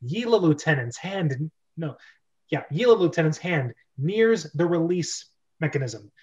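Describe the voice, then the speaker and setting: Slow, animated man reading stage directions. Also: on the high side, dull audio. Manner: halting, often corrects misreadings. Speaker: narrator of script reading.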